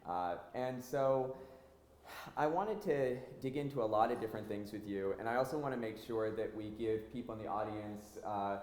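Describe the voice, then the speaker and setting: A man interviewing an artist onstage before a live audience, speaking hesitantly with many fillers and warm admiration. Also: lowish voice, moderate pace, slight background noise. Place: gallery hall. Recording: roomy hall with faint ambience.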